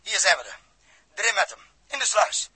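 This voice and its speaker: An actor playing a commanding, decisive spaceship captain who barks terse orders in a 1960s Dutch radio drama. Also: male voice, high voice, big pitch swings, normal speed, sharp tone, breathy edge, full character voice, poor audio. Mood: brisk, commanding. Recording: old radio recording.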